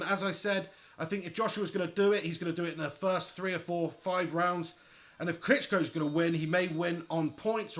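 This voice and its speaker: A man giving chatty, excitable live commentary on a boxing match and talking straight to his viewers. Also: high-pitched. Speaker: livestream fight commentator.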